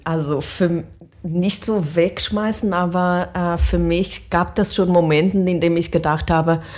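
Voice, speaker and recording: A woman with a Portuguese accent speaks in a deep register at an unhurried pace. She answers interview questions reflectively, often pausing to find the right word. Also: darkish tone. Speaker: professor of language education, interview guest.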